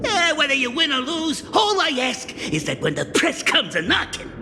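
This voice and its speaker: A high, cackling gang boss. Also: male voice, wildly swooping pitch, normal speed, vocal fry, noisy background, full character voice. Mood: jovial. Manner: theatrical, cackling, wheedling. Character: sly flamboyant male gang boss sponsor. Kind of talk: video game voice acting. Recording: game audio with music, long reverb.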